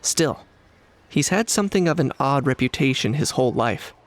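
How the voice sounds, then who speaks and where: Man acting calm, pragmatic young warrior. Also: mid-range voice, close to an everyday voice. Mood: serious, explanatory. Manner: measured, matter-of-fact, serious. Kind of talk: video game voice acting.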